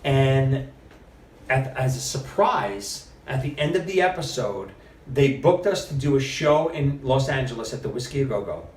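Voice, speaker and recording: Animated man telling stories in a roomy space. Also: medium pitch, normal speed, a little hiss or hum. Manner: animated storyteller, quotes others with lively shifts. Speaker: podcast guest. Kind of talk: casual storytelling interview. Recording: roomy, mic at a distance.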